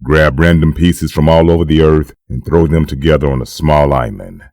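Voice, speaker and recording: Male actor as comic dub narrator, extremely deep, gravelly, grave, dramatic, dry recording. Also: normal speed, darkish tone, no room sound. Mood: grave, measured.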